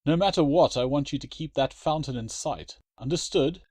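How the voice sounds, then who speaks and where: A man's voice: a little high, moderate pace, darkish tone, no room sound, voice pushed up, natural voice. A man voicing a stern but loving father giving firm instructions.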